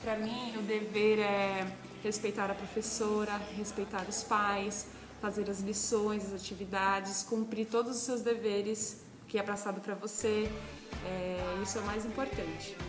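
A woman's voice: mid-range voice, flat delivery, unhurried, reverberant, over music. A woman in her twenties who assists a school's coordinators, answering children's questions and listing points with small hesitations. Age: 20s. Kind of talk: school project interview.

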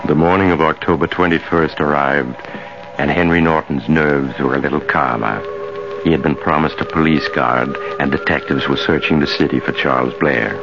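A man's voice: extremely low pitch, normal speed. A man playing the grave storyteller of a 1940s radio thriller, narrating from a script. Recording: muffled old 1940s radio recording. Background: loud dramatic music.

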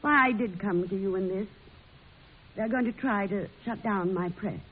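An actress playing a fiery, sharp-tongued frontier-bred woman newspaper publisher in an old-time radio drama. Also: medium pitch, moderate pace, breathy edge, natural voice. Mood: earnest, worried. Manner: sharp-tongued, indignant, defiant. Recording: muffled, lo-fi old radio recording.

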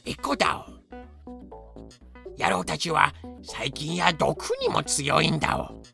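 A man's voice: a little high, takes it slowly, vocal fry, sharp tone, faint music behind, exaggerated voice. A man voicing a cheerful, boastful cockroach who explains things with cutesy verbal tics in a comedic fan voice drama. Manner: cutesy verbal-tic sentence endings, explanatory. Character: boastful talking cockroach, male, cheerful know-it-all. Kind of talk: fan-made comedic voice drama, educational banter.

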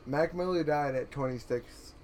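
A man's voice: a little high, normal speed, over a noisy crowd. A young man co-hosting a podcast, talking in a rambling, earnest way with frequent restarts and laughs. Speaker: podcast co-host. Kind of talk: casual chat.

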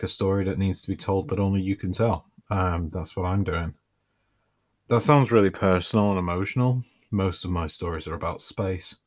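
Man, deep low voice, unhurried, dry self-mocking delivery, podcast reading. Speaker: podcast host and author. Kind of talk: reading his own writing aloud.

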